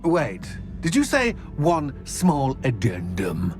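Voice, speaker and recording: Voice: medium pitch, wildly swooping pitch, slow, a little mellow, soft background music. An actor voicing a mischievous, theatrical poltergeist in a video game, with a pompous, drawling delivery. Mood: gleeful, scheming. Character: mischievous, theatrical poltergeist fond of causing chaos. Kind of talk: video game character acting.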